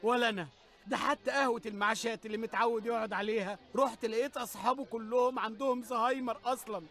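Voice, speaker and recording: Super high, fast talking egyptian comic cartoon dude, excitable. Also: male voice, soft background music. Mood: exasperated. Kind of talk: cartoon comedy voice acting.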